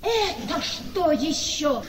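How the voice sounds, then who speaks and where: An actress playing a naive, devoted young wife in a radio play, speaking with earnest, easily wounded theatricality. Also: female voice, sits high, sing-song, drawn-out words, a crowd in the background, exaggerated voice. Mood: offended. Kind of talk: Russian classic radio play acting. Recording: echoey hall, distant mic, faint noise.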